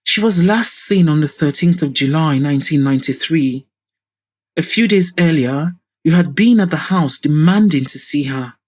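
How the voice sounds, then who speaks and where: A woman's voice: low voice, animated, moderate pace, darkish tone. A woman plays a determined daughter in an audio drama. She is composed and polite, putting her questions in a cool, pointed way. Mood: cool, accusing.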